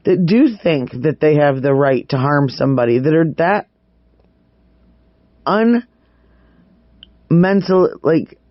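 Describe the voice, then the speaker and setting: A man with a slightly high voice talks through crime updates in a casual, opinionated way, repeating words to press a point. The audio is dry and close but somewhat muffled. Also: animated, slow, long pauses, no echo. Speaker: true crime vlog host.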